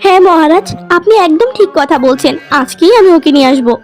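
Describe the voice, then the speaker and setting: Lady doing a sad gentle queen, high voice, cartoon melodrama, soft music under. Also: expressive, quick pace. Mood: eager. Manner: mournful, tender. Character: sorrowful, tender village queen longing for her lost daughter. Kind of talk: melodramatic fairy-tale cartoon acting.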